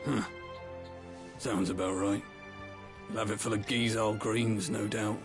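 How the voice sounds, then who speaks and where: An actor voicing a chatty, blunt stable master in a video game, with a wry, folksy manner. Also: male voice, lowish voice, fairly even intonation, a little slow. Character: gruff, wry male stable master, chatty working man. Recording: game music behind voice.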